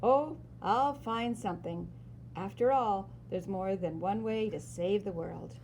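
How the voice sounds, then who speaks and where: A woman's voice: medium pitch, unhurried, a little mellow, a little hiss or hum, lightly acted, rough recording. Woman voicing a breezy, self-assured retiring superhero man. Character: confident, easygoing male superhero retiring from the job.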